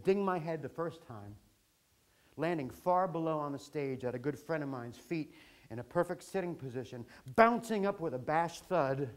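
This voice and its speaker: A man performing an autobiographical spoken-word story with theatrical, deliberate phrasing and wry asides. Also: on the high side. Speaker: storyteller performing his own account.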